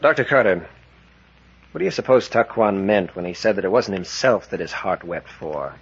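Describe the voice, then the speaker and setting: A man playing a steady, authoritative captain and protective leader in a 1940s radio drama. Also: deep voice, normal speed, husky, heavily acted. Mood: firm, concerned. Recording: muffled vintage 1940s radio recording.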